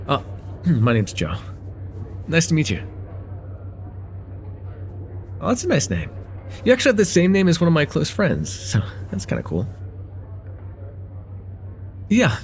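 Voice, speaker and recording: A man's voice: medium pitch, gravelly, a little mellow, slight background noise. A man playing a friendly, chatty young guy at a cafe in a casual, slightly hesitant conversational ASMR roleplay.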